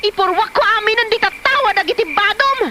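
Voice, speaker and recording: A woman playing a furious, scolding wife with an Ilocano Filipino accent in a comedy drama, given to shrill outbursts. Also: fairly quick, heavy background noise.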